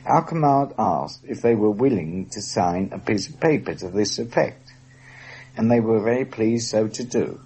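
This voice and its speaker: A man with a husky voice giving a precise, formally worded first-person account as a former prisoner of war in a documentary. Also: lowish voice, expressive, measured pace, warm tone.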